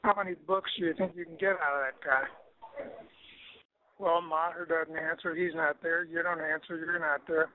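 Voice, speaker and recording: Phone-line older man, high-pitched and sardonic. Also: steady tone, moderate pace. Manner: sardonic, wisecracking, grumbling. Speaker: caller leaving voicemail messages. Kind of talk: casual voicemail message. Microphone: telephone. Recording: tinny phone-line voicemail recording.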